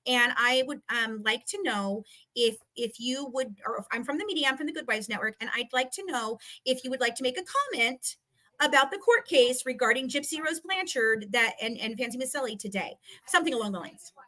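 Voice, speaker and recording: A woman with a fairly high, sharply bright voice recounts events in an animated, casual way. She is emphatic and salty, slipping into re-enacted dialogue as she goes. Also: brisk. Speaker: guest recounting a legal dispute.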